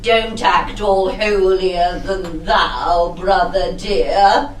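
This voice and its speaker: Slow, scheming lustful older widow. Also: female voice, fairly low pitch. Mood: mocking. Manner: mocking, melodramatic. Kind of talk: theatrical comic costume-drama verse. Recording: roomy, echoing stage sound.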